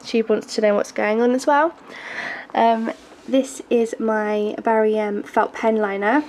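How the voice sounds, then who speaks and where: A woman's voice: a little high, fairly even intonation, unhurried, a little mellow. Groggy, chatty young woman. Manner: chatty, hesitant, frequent ums and little laughs. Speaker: beauty vlogger. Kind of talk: casual makeup collection tour. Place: bedroom at home. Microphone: handheld camera filming from close. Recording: noisy home video recording.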